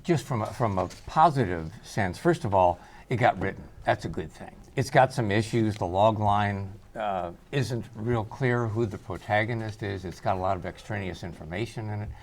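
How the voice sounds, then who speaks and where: Low, gravelly man giving critique. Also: normal speed, few pauses, a little hiss or hum. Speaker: script feedback panelist. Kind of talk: spoken critique.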